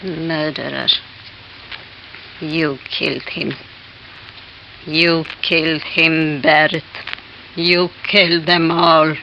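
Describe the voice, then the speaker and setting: A grieving, hate-filled widow. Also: female voice, sits low, level tone, slow, vocal fry, long pauses, sharp tone, slight background noise, full character voice. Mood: bitter accusing. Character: grieving widow, bitter and accusing. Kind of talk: 1950s radio drama acting. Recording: old dull radio transcription.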